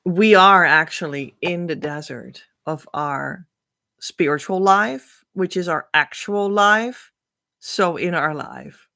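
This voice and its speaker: Slow-speaking woman teacher. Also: fairly low pitch, creaky, sharp tone. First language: Dutch. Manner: earnest, reassuring, unhurried. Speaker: spiritual teacher. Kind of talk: spiritual teaching talk.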